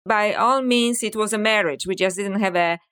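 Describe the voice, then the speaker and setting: A woman's voice: mid-range voice, moderate pace, a bit bright, smooth. A middle-aged woman with a Polish accent, a guest author speaking reflectively in a podcast interview. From Poland. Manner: reflective, storytelling with frequent "you know".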